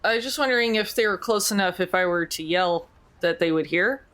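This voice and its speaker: A woman's voice: lowish voice, brisk, fairly bright tone. A woman speaking casually as a player in a tabletop role-playing game. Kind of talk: casual chat.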